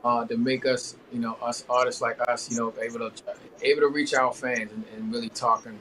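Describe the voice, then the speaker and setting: A man's voice: mid-range voice, fairly quick, slight background noise, low-quality recording. Laid-back man singer, rambling talk. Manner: relaxed, rambling with many fillers. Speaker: R&B singer, guest. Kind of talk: casual interview talk.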